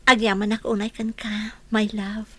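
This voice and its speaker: A woman with an Ilocano accent playing a gentle, forgiving young wife in a radio drama. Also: medium pitch, level tone, normal speed, lightly acted. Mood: tender.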